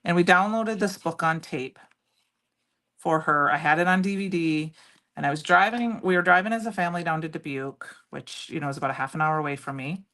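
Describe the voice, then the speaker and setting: A middle-aged woman with a loud voice, chatting and rambling as she demonstrates a craft project live and answers viewers by name. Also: low voice, fairly quick. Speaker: crafting livestream host. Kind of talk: casual chat while demonstrating crafts.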